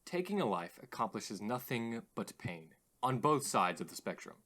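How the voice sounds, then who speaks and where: An actor playing an earnest, philosophical radio host in an audio drama, formal and slightly theatrical. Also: male voice, medium pitch, big pitch swings, normal speed, fairly bright tone. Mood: grave.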